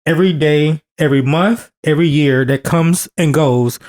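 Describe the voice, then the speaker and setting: A man's voice: a little high, moderate pace, a little mellow. A man in his thirties co-hosting a podcast, talking earnestly and repeating phrases for emphasis as he restarts his sentences. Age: 30s. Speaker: podcast co-host. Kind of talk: casual podcast conversation.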